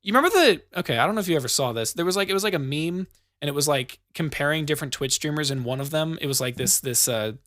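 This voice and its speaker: An American man hosts a podcast in a chatty, storytelling way, swearing casually. His voice is bright and a little high, and the sound is dry and close to the mic. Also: expressive, quick pace, no room sound. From United States. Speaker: podcast host.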